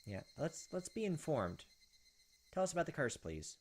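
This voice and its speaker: A man's voice: on the high side, sing-song, normal speed. A man hosting a game playthrough, switching between casual commentary and reading story text aloud. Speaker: gaming video host.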